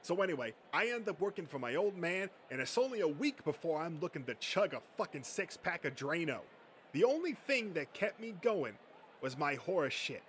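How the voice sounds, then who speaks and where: A man's voice: fairly high pitch, sing-song, moderate pace, a bit bright, slight background noise. A man acting a boastful, crude male horror fan in a comic dub, telling stories with profane swagger. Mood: bragging.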